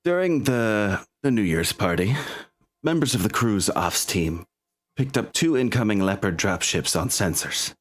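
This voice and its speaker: A man voicing a composed female military captain in a tabletop game, giving a formal, measured briefing. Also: lowish voice, natural voice.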